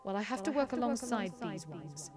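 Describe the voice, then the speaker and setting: A woman playing a young female dragon in an audio drama, earnest and empathetic, hesitant at times but quick to argue back. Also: mid-range voice, lively intonation, over a noisy crowd, lightly acted. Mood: defensive. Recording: music behind the voice. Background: music.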